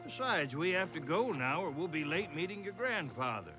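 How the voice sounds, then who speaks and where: A friendly sea captain in an animated film. His reassuring male voice sits slightly high. A clear music bed plays beneath it. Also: normal speed. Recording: music underneath.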